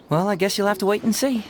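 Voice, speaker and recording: A woman playing a clever, breezy, boyish young male detective with a smooth, playful delivery in an anime video game. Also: medium pitch, natural voice. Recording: music playing behind. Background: background music.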